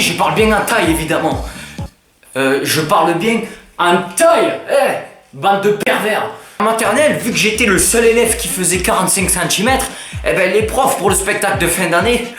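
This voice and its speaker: A man's voice: sits high, normal speed, echoey room, soft background music. A teenage boy with a Southern French accent, chatting to camera in a casual, self-mocking vlog. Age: teens. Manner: joking, self-mocking, lively banter. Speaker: YouTuber.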